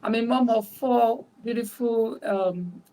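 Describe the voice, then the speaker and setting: A woman's voice: a little high, slow, mellow, rough recording. A woman from Nigeria introducing herself on a live online panel, speaking steadily with occasional fillers. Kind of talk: self-introduction.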